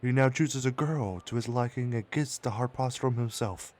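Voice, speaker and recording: A man playing a wary, world-weary apple merchant in a manga dub, with measured, old-fashioned phrasing. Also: mid-range voice, a little mellow, natural voice. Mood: guarded. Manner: measured, archaic storybook phrasing.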